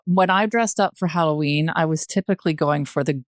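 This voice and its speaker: A Canadian woman in her sixties speaking as a podcast guest, explaining things in a measured, thoughtful way. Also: deep voice, no room sound, studio quality. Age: 60s. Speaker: podcast guest, retired journalist. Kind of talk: thoughtful conversational discussion.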